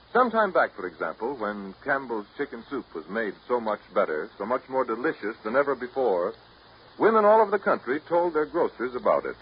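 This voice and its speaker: A man's voice: mid-range voice, slightly rough. An American man announcing on 1930s radio, reading a scripted sponsor message in a formal, polished, courteous manner. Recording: muffled, noisy 1930s radio broadcast recording.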